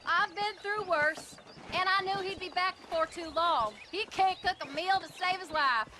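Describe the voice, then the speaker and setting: An actress playing a proud, barbed frontier wife in a video game, sardonically polite, with a rural American Western accent. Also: female voice, very high voice, moderate pace, background noise. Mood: sardonic. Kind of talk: video game cutscene acting.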